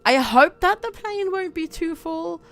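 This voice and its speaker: A woman's voice: very high voice, lively intonation, measured pace, faint music behind. A young woman from Germany chatting casually with her viewers while playing a game on a livestream, reading out chat and laughing often. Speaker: streamer.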